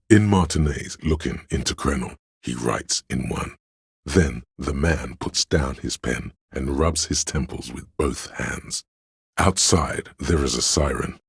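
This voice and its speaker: Very deep, gravelly male narrator. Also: no echo, clean studio sound. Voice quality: gravelly, husky. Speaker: video game voice-over narrator. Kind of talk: scripted literary narration.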